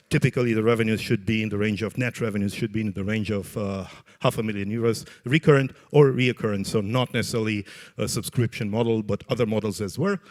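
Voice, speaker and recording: Romanian-accented man presenting a fund. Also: medium pitch. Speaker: venture capital investor. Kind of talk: stage pitch presentation.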